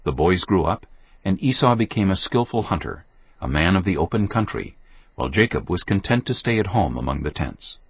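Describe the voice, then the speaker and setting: Deep, husky male Bible narrator. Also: very animated. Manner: measured, storytelling. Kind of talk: scripted dramatized Bible narration. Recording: dull audio, faint music.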